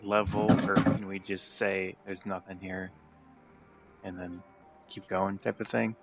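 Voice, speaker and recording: A man playing a tabletop role-playing game, casually improvising in a measured, deadpan way. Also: low voice, over music.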